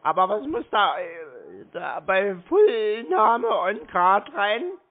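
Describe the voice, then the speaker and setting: A person talking slowly and naively on a phone call, sounding confused, literal and repetitive. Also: mid-range voice, big pitch swings. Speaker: prank callee stringing along a scam caller. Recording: muffled, band-limited phone call.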